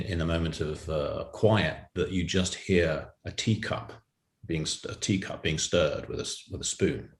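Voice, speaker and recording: A man with a very low voice talks as an interview guest in an echoey room. He explains his creative work through anecdotes, in a conversational storytelling way. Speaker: writer and director, interview guest.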